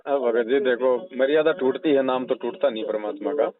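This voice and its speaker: A man's voice: mid-range voice, fairly quick, a crowd in the background. A man giving informal religious counsel over the phone, explaining and admonishing, often checking with short questioning tags. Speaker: spiritual adviser taking a recorded call. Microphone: telephone. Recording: tinny phone call recording.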